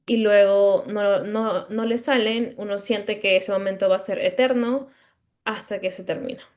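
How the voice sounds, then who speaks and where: A woman gives a conference lecture as a speech-language pathologist, in a voice of middling pitch for a woman. She explains patiently and checks in with her listeners now and then. Now and then she restarts a word partway through. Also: steady tone, a little slow, darkish tone. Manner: explanatory, asks the audience checking questions; stutters at times, mid-word restarts.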